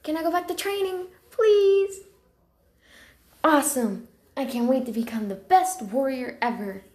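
Casting: young female warrior-cat kit, played by a woman, high voice, unhurried delivery, eager and excitable, roomy sound. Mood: excited. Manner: eager, breathless, expressive. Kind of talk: amateur character voice lines.

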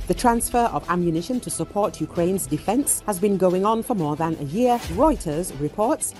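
A woman reading a scripted news voice-over in an even, measured newsreader manner. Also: on the low side. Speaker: news narrator. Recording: music bed behind voice-over.

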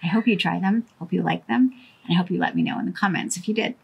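A woman's voice: fairly low pitch, quick pace, creaky, husky, poor audio. A middle-aged woman chatting casually as a cooking vlogger, friendly and prone to wandering off topic, talking to camera outdoors by a brick wall. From Canada.

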